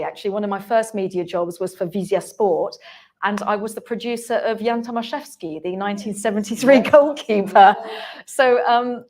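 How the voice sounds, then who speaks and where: A woman speaking on a conference panel, chatty and candid, with frequent restarts. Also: medium pitch. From England. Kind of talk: panel discussion. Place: conference hall.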